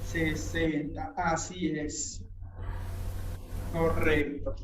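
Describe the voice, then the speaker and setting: A woman's voice: deep voice, flat delivery, very slow, noisy background. A woman tutoring math one-on-one, patiently repeating guiding questions. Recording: roomy, distant mic.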